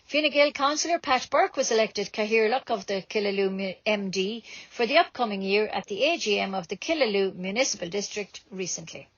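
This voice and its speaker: A woman's voice: mid-range voice, no echo, dull audio. An Irish woman reading scripted local news as a community radio presenter.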